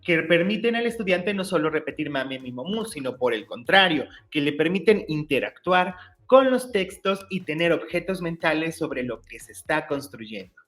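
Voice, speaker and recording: A man, hosting his own channel and clearly explaining teacher-exam study material in an upbeat, explanatory way. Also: a little high, a bit bright. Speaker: YouTube channel host, teacher-exam tutor. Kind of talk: educational explainer reading curriculum text.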